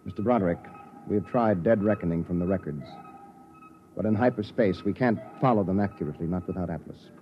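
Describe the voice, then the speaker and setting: An actor playing a weary, wry, commanding starship captain in 1950s radio drama style. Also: male voice, deep voice, fast talker, soft background music, close to an everyday voice. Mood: grave, resigned. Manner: commanding, dryly sardonic. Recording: dull, noisy vintage radio recording.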